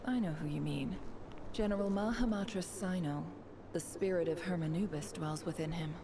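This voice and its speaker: A woman playing a cool, sardonic, ruthless desert dweller with secrets in a video game, speaking deliberately. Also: lowish voice, background noise, close to an everyday voice. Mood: cool, composed. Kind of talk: dramatic video game voice acting.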